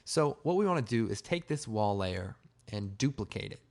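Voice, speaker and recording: A man with a slightly hoarse, raspy voice giving a casual, informal software tutorial, explaining step by step. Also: fairly low pitch, animated, moderate pace. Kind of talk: casual screen-recorded tutorial narration.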